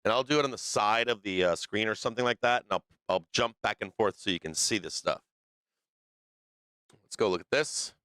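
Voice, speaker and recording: A low-pitched man with a bright, crisp voice talks through stock charts on a live stream, loose and thinking aloud with plenty of fillers and restarts. He is losing his voice, and the sound is close and dry with no room in it. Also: a little fast, no echo. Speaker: stock market stream host. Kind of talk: live trading commentary over charts.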